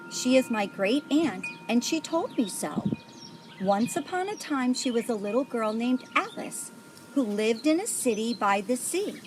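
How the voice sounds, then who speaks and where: A woman reading a picture book aloud to children in a deliberate way, like a volunteer storyteller. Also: on the high side, noisy background. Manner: deliberate, pauses to point out pictures.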